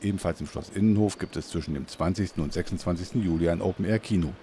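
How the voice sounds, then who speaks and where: Male news voice-over, very low and dark, scripted delivery. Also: fast talker, a little hiss or hum. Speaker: news reporter narrator.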